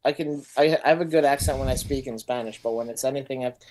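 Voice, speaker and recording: A man's voice: mid-range voice, fast, slight background noise, rough recording. Joking, teasing male podcast co-host. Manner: joking, teasing, loud bursts of banter. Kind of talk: casual chat.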